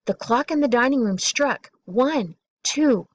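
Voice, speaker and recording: A woman reading a children's bedtime story aloud in an expressive, deliberate way, drawing out key words. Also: a little high, dull audio, a little hiss or hum.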